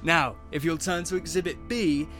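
A man's voice: fairly high pitch, fairly even intonation, normal speed, music in the background, lightly acted. A man acting in an audio drama as a formal prosecuting lawyer presenting evidence.

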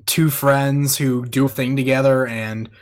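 A man with a medium-pitched voice takes part in a casual group discussion. He speaks informally and haltingly, circling around what he means. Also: fairly even intonation. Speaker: podcast panelist.

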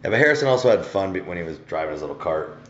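A young man with a very deep voice that still swings up and down in a lively way, riffing laid-back beside his co-host. The room is echoey and the sound dull and lo-fi, with a faint hum underneath. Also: rapid-fire. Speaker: podcast co-host. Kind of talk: casual chat.

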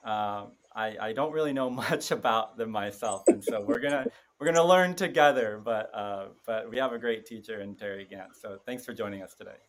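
A man hosting an interview podcast. His voice sits on the low side. He speaks in a friendly way but a little haltingly, with some ums and uhs. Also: a bit bright. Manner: friendly, slightly halting with fillers. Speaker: podcast host.

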